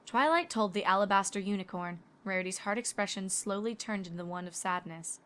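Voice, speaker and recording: A woman's voice: mid-range voice, brisk, a bit bright, clean tone. A woman reading scripted story narration. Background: music.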